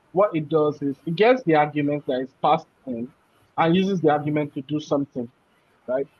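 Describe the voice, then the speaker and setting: A man teaching a programming class. He walks through code step by step and keeps checking in with "right?". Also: on the high side. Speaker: programming instructor. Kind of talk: live coding class explanation. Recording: muffled, dull audio.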